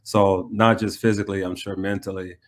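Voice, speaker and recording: A man with an American accent speaks in a low voice that stays very even. He sounds like a coach being interviewed, answering in a conversational way. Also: brisk. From United States.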